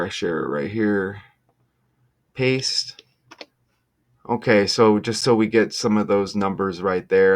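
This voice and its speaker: A man presenting an online video in a casual, off-the-cuff way, halting and emphatic, often checking in with the listener. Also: lowish voice. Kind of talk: casual off-the-cuff explainer with numerology.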